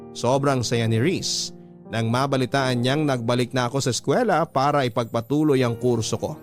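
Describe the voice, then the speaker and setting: A man reading a scripted first-person letter as a radio drama narrator, in a measured storytelling manner. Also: mid-range voice.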